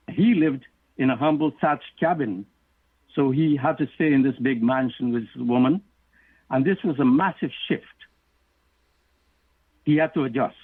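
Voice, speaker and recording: A middle-aged man from Guyana, an author answering interview questions in a measured, explanatory way. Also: mid-range voice, husky, no echo. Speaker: author and guest. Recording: tinny phone line.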